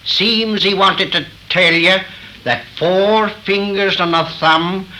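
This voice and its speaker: Slow, sharp-voiced old frontiersman. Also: high voice, full character voice, rough recording. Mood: grave, ominous. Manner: folksy, dramatic, deliberate. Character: old frontier man, folksy bearer of grim news. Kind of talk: 1930s radio horror acting. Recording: old 1930s radio transcription recording.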